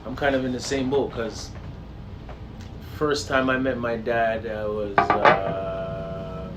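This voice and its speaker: A man from Jamaica hosting a casual discussion, talking in a relaxed, swearing way that turns loud and animated. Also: on the low side, slow pace, recorded from a distance, noisy background. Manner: animated, swearing, gets loud when worked up.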